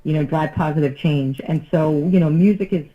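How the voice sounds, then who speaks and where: A woman's voice: sits low, brisk. Earnest woman musician on a dull line. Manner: earnest, loosely phrased with fillers. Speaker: band lead singer, guest. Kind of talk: interview answers.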